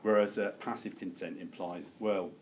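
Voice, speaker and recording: A man lecturing to a seminar group, halting and self-correcting as he thinks aloud and opens things up for discussion. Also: sits low, steady tone. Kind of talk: academic lecture with open discussion. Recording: noticeable background room noise.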